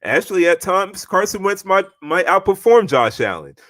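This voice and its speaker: A man's voice: sits high, big pitch swings, normal speed, a bit bright, no room sound, studio quality. A young man co-hosting a podcast, talking trash in boastful, repetitive casual chat. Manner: boastful trash talk, repeats himself. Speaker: podcast co-host.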